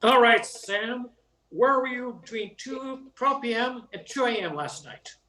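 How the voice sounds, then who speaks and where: A stern small-town police inspector played by a man in a noir comedy radio play. The voice is very high for a man and has a bright, forceful edge, gruff in manner. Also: measured pace, slight background noise, full character voice. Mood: stern, impatient.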